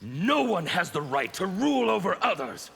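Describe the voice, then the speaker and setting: A harsh, fry-laden fighting game anarchist preaches chaos with theatrical defiance. His pitch swings wildly and the tone is piercingly sharp, set in a reverberant space. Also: very animated, moderate pace, heavily acted. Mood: preaching, fierce. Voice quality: gravelly, harsh, strained rasp. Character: fanatical male anarchist warrior preaching chaos and freedom. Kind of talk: fighting game character acting. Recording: reverberant hall, background noise.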